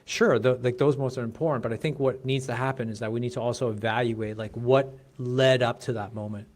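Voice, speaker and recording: A Canadian man in his forties, a guest on a podcast, telling his story in casual chat with many restarts and quick laughs. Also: medium pitch, fairly even intonation. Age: 40s. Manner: fast, rambling, restarts and laughs. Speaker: podcast guest, chiropractic coach.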